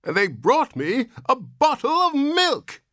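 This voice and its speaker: A man voicing a querulous old man who is really a newborn, whining and shrill. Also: huge pitch swings, slow, pushed and bright, no echo, clean studio sound, full character voice. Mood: complaining. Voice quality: whiny, shrill.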